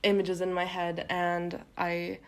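A woman's voice: fairly low pitch, completely flat delivery, slow, clear, resonant tone. Hesitant young woman, native Turkish speaker. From Turkey. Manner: hesitant, many fillers and self-restarts. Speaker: guest poet. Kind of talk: casual podcast conversation.

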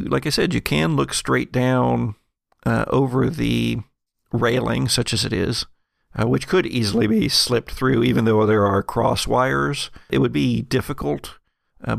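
A man working as game master of a tabletop roleplaying podcast, describing scenes in a halting, deliberate way. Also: mid-range voice, creaky, no echo, clean studio sound.

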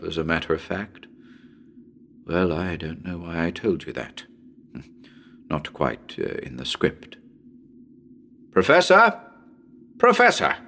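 A man playing a radio broadcaster unravelling into madness in a haunted house, halting and muttering, then breaking into exclamations. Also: on the low side, vocal fry, fairly bright tone, full character voice. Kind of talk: dramatic reading of a live radio broadcast.

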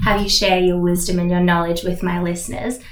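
A woman's voice: lowish voice, little pitch movement, a little fast. A woman interviewing a guest on her podcast, asking encouraging, eager questions. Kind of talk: casual interview. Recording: echoey, distant from mic.

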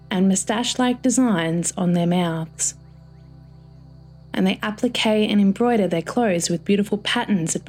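Lower-voiced woman narrating a short story. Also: darkish tone.

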